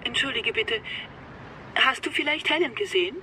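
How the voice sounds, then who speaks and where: A woman's voice: fairly high pitch, animated, normal speed, sharp tone, a little hiss or hum, low-quality recording. An actress playing a worried mother on the telephone in a radio play, speaking urgently. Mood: anxious.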